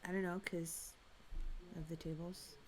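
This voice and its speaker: A woman's voice: lowish voice, level tone, measured pace, low-quality recording. A young woman co-hosting a casual show, guessing quiz answers in a chatty, giggly way. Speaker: co-host, quiz contestant. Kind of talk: casual chat.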